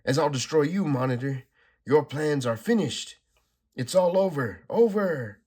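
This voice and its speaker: A man's voice: mid-range voice, expressive, moderate pace, breathy edge, voice pushed up. A man reading a comic aloud, voicing a fierce, selfless young superheroine in a strained, raised voice. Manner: raised, strained, shouting delivery.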